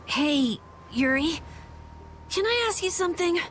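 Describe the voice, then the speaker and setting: A woman's voice: very high voice, animated, a little slow, slight background noise. A woman voicing an earnest, anxious young boy guild leader in a video game, speaking hesitantly.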